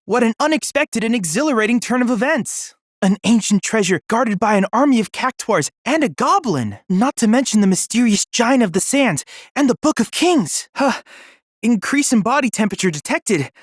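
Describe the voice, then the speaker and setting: An actor voicing an earnest, excitable young android boy researcher in a video game, with precise, formal, polite diction. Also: medium pitch, moderate pace, no echo, studio quality. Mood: excited. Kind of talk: video game character voice lines.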